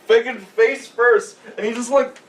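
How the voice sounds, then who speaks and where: A man's voice: extremely high pitch, fairly even intonation, a little slow. A man telling a rambling, comic story to friends, casual and sweary, often close to laughing. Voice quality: frequent swearing. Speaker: podcast storyteller. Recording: roomy, distant mic with background hiss.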